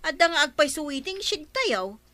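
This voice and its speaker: A woman's voice: sits high, very animated, normal speed, pushed and bright, no echo, heavily acted. An actress with an Ilocano Filipino accent playing a plain-spoken, gossipy village woman who grumbles in a radio comedy drama. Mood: grumbling.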